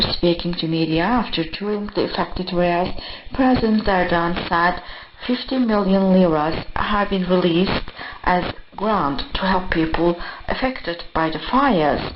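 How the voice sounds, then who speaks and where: Static-laden shortwave woman newsreader. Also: medium pitch. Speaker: radio newsreader. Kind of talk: scripted news bulletin reading. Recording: muffled shortwave radio broadcast, clear static.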